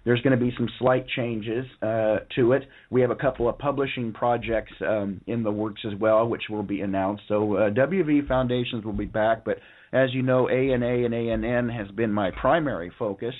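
A hoarse man calling in as a guest on a talk radio show, rambling casually with lots of fillers and restarts. His voice sits at an ordinary pitch. The sound is thin and narrow like a phone line. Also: steady tone, moderate pace. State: hoarse from a lung infection.

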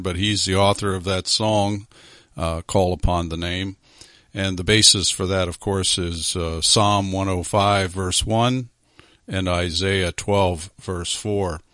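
A fairly low-pitched man hosts a radio talk show as a pastor, close to a studio microphone. His delivery is measured and unhurried, often stopping on filler sounds. The sound is dry, with no room echo. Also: fairly bright tone. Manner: measured, with many filler pauses.

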